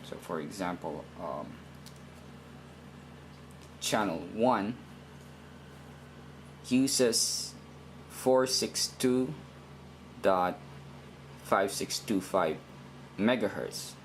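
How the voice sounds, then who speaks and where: A man with a fairly low voice walks through instructions very slowly. He is deliberate and halting, circling back to repeat himself in an informal teaching style. Faint noise sits behind the recording. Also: expressive. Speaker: instructor in a how-to video. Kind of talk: informal step-by-step tutorial.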